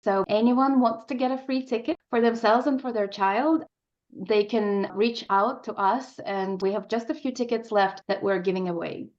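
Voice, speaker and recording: A woman's voice: on the high side, normal speed, a little mellow, muffled recording. A woman speaking as an interview guest, an opera composer in conversation on the radio.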